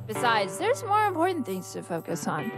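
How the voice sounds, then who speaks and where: A woman's voice: fairly high pitch, wildly swooping pitch, moderate pace, over music, voice pushed up. A woman voicing a brash, scornful young treasure-hunting woman in a visual novel, bratty and blustering. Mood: brash, needling. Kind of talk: visual novel character dub.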